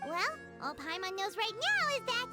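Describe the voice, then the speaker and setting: An extremely high, squeaky little fairy chirps as an excitable, food-loving game companion. Her delivery swings animatedly up and down, exclaiming like a child, with music clearly playing behind her. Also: normal speed. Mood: cheeky. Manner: exclaiming, speaks of herself in third person. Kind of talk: video game character acting.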